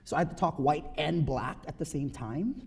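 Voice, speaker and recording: A man in his thirties from the Philippines giving a personal talk to an audience, earnest and emphatic, often checking in with a quick "right?". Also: fairly high pitch, creaky, a little mellow, slightly rough.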